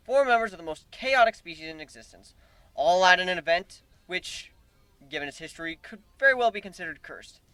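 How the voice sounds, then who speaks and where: A man's voice: high-pitched, expressive, fairly quick, sharp tone, clean tone, dry recording, poor audio. A man voicing a wry, wisecracking human hero in a fantasy story reading, given to exclaiming. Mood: warning.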